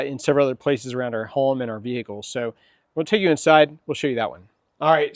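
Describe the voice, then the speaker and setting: A middle-aged man hosting a casual product demo at his home, chatting and asking questions. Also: medium pitch. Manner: chatty, asks questions, quick nods of agreement. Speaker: channel host. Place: home, indoors and outdoors.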